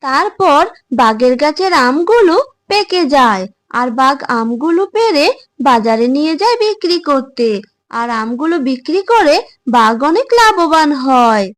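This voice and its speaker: A woman's voice: high voice, big pitch swings, moderate pace. A woman narrating a scripted children's fable as a storyteller.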